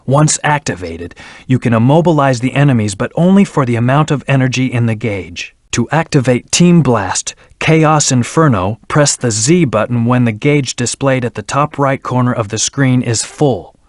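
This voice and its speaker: A man's voice: medium pitch, lively intonation, normal speed, dry recording, clean studio sound, lightly acted. A man voicing a brooding, stern hedgehog antihero in a video game, speaking in a terse, serious, controlled way. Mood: cool, instructive. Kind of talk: video game voice acting, scripted hints and battle lines.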